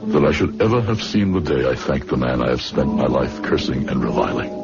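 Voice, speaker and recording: A man's voice: rumbling low, brisk. An actor playing a bitter man who looks back on his naive youth with regret, narrating an old-fashioned radio drama in a brooding, rueful way. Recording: dull radio recording, loud music.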